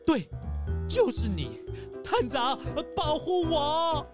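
A woman acting a sly male fox in a children's audio drama, whining and milking every moment for drama. The voice sits a bit high, with clear music playing under it. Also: very animated, slow pace, heavily acted. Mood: dramatic. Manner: theatrical, whining, accusing. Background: background music.

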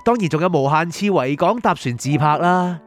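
Male actor as a young dead soul, reflective, peaceful, lively high voice, radio drama, music behind. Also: normal speed, close to an everyday voice. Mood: nostalgic. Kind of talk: Cantonese radio drama acting and first-person narration.

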